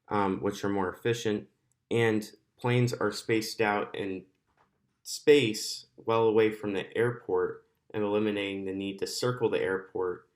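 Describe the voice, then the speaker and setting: A man giving an explanatory slide presentation as a student presenter, pausing often in mid-sentence. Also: fairly low pitch.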